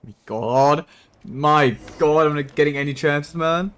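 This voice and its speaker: A woman's voice: low-pitched, a little slow. A woman streamer giving casual, amused, sweary commentary on a game, often echoing its lines.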